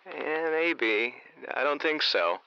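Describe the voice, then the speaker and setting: A man's voice: fairly high pitch, expressive, slow, sharp tone, smooth, no room sound, highs cut off, heavily acted. A man playing a small-town radio host in a comedy audio drama, chatty with a presenter's delivery. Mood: upbeat, curious.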